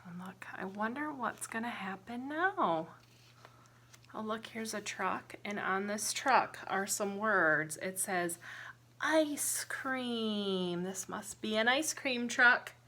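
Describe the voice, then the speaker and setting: A woman teacher reading a children's picture book aloud in an expressive, sing-song way, pausing to ask young listeners simple questions. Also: medium pitch. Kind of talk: interactive children's storybook read-aloud with counting and singing.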